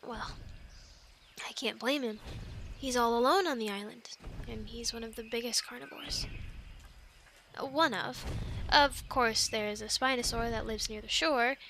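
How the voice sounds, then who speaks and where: A woman's voice: on the high side, a little slow, pauses often, a bit bright, a little hiss or hum. A woman acting a chatty young island castaway who loves animals, in an intimate, rambling ASMR roleplay with hesitant, earnest explanations. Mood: chatty, wary.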